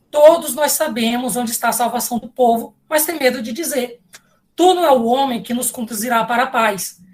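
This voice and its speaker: A woman's voice: fairly high pitch, lightly acted. A woman playing a bold, indignant council member who speaks theatrically and with passion in a comic epic audio drama. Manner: theatrical, impassioned.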